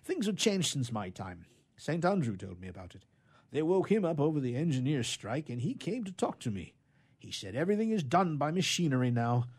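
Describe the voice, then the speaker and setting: A man's voice: a little high, big pitch swings, fairly quick, a little mellow, breathy edge, voice pushed up. A man voicing a sleepy, apologetic old champion knight in a rambling storybook manner. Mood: weary, regretful. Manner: drowsy, rambling.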